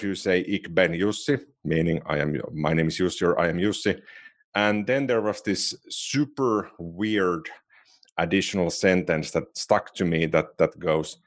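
A man with a Finnish accent co-hosting a podcast, chatting casually and a little self-deprecatingly. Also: deep voice. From Finland. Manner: chatty, self-deprecating, restarts phrases often. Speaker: podcast co-host. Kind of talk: casual chat.

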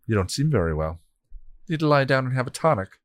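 A man's voice: medium pitch, very animated, normal speed, no room sound, studio quality, voice pushed up. A man voicing a female robot copy of a heroine who speaks coolly and reasonably. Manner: cool, reasonable.

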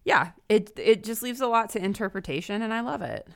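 A woman's voice: a little high, lively intonation, fairly quick, creaky, no room sound, clean studio sound. A woman guesting on a podcast, chatting casually and with enthusiasm about books. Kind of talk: casual book discussion chat. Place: remote call.

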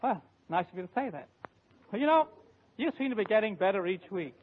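A man's voice: high voice, sing-song, brisk. A man playing a smug, self-admiring announcer sidekick in a 1940s radio comedy. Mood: self-satisfied. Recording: very noisy, tinny old radio.

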